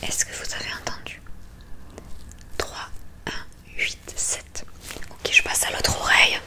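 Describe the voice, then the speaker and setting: Female ASMR examiner: breathy whisper, unhurried delivery, gentle and reassuring, close-miked. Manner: soothing, gentle, reassuring instructions. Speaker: ASMR creator playing an examiner in a roleplay. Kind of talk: whispered ASMR medical exam roleplay. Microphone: close ASMR microphone.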